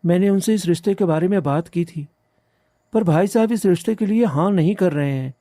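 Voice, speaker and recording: A devoted young village groom in a cartoon tale, acted by a man. He sounds high for a man yet very soft and dark in tone. He speaks earnestly and respectfully, in a dry, close recording. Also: fairly quick, dry recording, natural voice. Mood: torn. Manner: earnest, pleading. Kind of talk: Hindi animated moral story dialogue.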